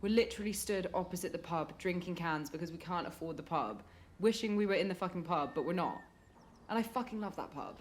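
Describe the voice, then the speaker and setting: A woman's voice: lowish voice, animated, fairly quick, slight background noise, natural voice. A British woman playing a broke, frustrated young writer who is teasing and blunt in a naturalistic radio drama.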